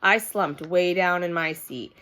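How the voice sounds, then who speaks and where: A woman's voice: on the low side, unhurried, sharp tone, clean tone. A woman reading scripted children's narration in a childlike, emphatic way with dramatic phrasing. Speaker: storybook reader.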